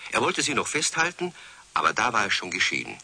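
A man narrating a children's radio play as a lively storyteller with a husky voice. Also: fairly low pitch, a little fast, exaggerated voice. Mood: lively storytelling. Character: male storyteller of a children's tale.

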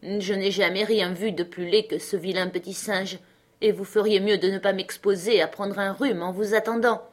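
A woman's voice: mid-range voice, fairly even intonation, normal speed, lightly acted. A woman voicing a fussy, grumbling housemaid who scolds and exclaims, in a storybook reading. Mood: disdainful.

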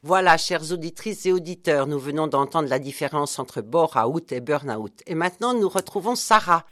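A woman's voice: deep voice, animated, normal speed, a bit bright. A woman hosting a radio interview, addressing her listeners and asking her guest loosely phrased questions. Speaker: radio host.

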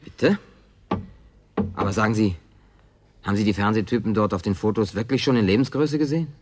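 A man's voice: lowish voice, fairly quick, noisy background, lightly acted. An actor playing a wry, wary man with a mock-courteous, bartender-like manner, in natural German radio play dialogue. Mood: sceptical, uneasy.

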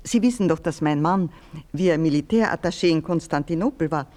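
A woman's voice: sits low, fairly quick, darkish tone, natural voice. A woman playing a genteel, chatty lady of the house in a radio play.

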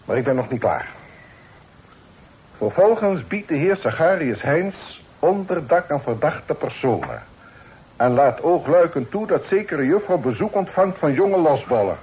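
A man's voice: a little high, very animated, a little slow, a little mellow, slightly rough, full character voice. A man playing a stern, suspicious father and magistrate in a Dutch radio drama, speaking in a formal, reproachful way. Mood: commanding, interrogating. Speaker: radio play actor. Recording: faintly noisy old radio play recording.

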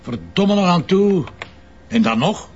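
A man's voice: sits high, big pitch swings, unhurried, husky, heavily acted. A man with a Flemish accent playing a stubborn, impatient, argumentative police commissioner in a crime audio drama. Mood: irritated. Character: stubborn, impulsive, grumbling male police commissioner. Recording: dull lo-fi sound with background noise.

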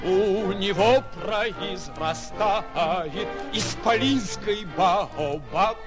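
A man's voice: high-pitched, very slow. An actor playing a jaunty small-town man, speaking and singing theatrically in a 1940s radio comedy. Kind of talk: 1940s radio play acting with comic song. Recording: old radio recording, loud music behind.

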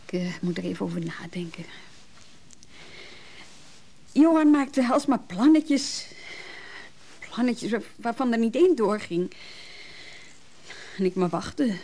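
A woman's voice: mid-range voice, lively intonation, measured pace, pauses often, warm tone. An actress playing a hurt, disillusioned woman in a radio play, nervy and brooding, speaking in hesitant, broken phrases. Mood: bitter, brooding. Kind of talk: naturalistic Dutch radio drama dialogue.

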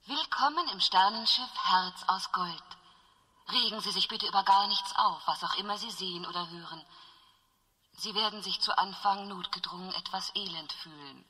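An actress playing a starship's calm, formal announcer in a science-fiction radio play. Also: female voice, medium pitch, moderate pace, lightly acted. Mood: official calm. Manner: formal, soothing, impersonal announcements. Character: calm, official female starship announcer counting down odds. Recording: long reverb like ship intercom, music behind.

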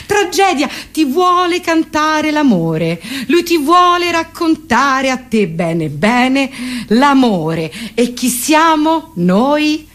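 A woman's voice: sits high, very animated, slow, fairly bright tone. A woman performing comic cabaret, satirical song and monologue in a theatrical, ironic, mock-solemn manner. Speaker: comic singer and stage performer. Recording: music behind the voice. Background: plucked guitar accompaniment.

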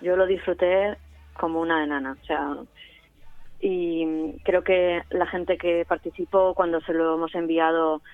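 A woman's voice: on the low side, no room sound. A woman with a Spanish accent from Spain talking casually and emphatically as a guest in a radio interview. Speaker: community radio member, interviewee. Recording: narrow-band remote line, music behind.

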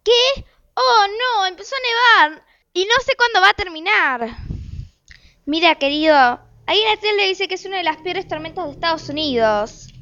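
A woman's voice: very high-pitched, animated, moderate pace, sharp tone, muffled recording, exaggerated voice. A woman with an Argentine accent playing a bossy, harried housewife and mother who nags her family in an amateur radio drama. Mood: alarmed. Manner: exasperated, commanding.

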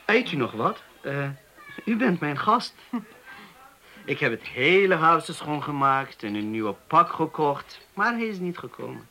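An actor playing an earnest young Turkish waiter who speaks haltingly, with many hesitations, in a radio play. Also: male voice, on the high side, big pitch swings, pushed and bright, heavily acted. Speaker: Turkish waiter in a police interview.

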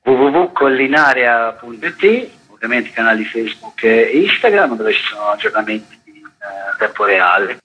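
A man's voice: medium pitch, lively intonation, moderate pace, hardly any pauses, breathy edge. A man giving explanatory answers as a festival co-director in a radio interview. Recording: dull lo-fi radio interview audio.

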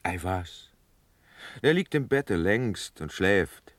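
An actor playing an impatient, earthy officer and blunt friend in a classical verse radio drama, brusque, exasperated and teasing. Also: male voice, deep voice, big pitch swings, takes it slowly, dry recording, exaggerated voice.

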